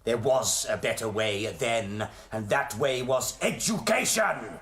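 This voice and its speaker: An actor playing a deranged, bitter lecturer in a radio play, declaiming grandly like a Shakespearean and breaking into outbursts. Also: male voice, medium pitch, expressive, slow, a crowd in the background. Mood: wry, bitter. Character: deranged theatrical male college lecturer turned gunman, manic and bitter.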